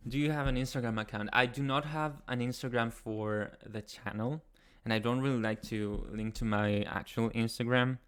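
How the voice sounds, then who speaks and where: A young man from Chile with a Chilean Spanish accent, hosting a casual livestream and answering chat in a soft, hesitant way with many pauses. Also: mid-range voice. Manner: soft-spoken, hesitant, many ums and restarts. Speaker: ASMR channel host. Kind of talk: casual livestream chat, reading viewer comments.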